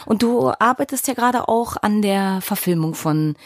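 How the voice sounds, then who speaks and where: A woman's voice: medium pitch, animated, moderate pace, no room sound, clean studio sound. A woman interviewing a guest as podcast host in a casual, conversational style. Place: studio.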